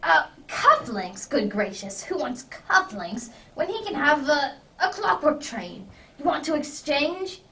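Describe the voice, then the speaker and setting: A woman playing a genial, masterful gentleman detective in a radio drama, with old-fashioned gentlemanly phrasing. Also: high-pitched, very animated, unhurried, exaggerated voice. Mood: genial, kindly. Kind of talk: amateur radio drama acting. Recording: distant mic with faint noise.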